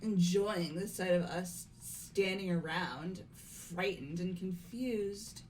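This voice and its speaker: A woman acts out a formal young lady in a murder mystery game. She speaks at a very measured pace, halting and uneasy beneath her composure. Light music plays underneath. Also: lowish voice, darkish tone, poor audio. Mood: grave. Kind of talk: amateur voiced playthrough of a mystery game.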